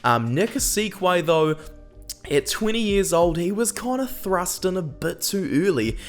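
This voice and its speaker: A man's voice: sits high, sing-song, a little slow, soft background music. A young man with a New Zealand accent, hosting a rugby analysis channel in a chatty, opinionated way, peppered with "um", while still getting over a cold. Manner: chatty, frequent "um" fillers, opinionated asides. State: recovering from a cold. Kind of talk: casual scripted commentary.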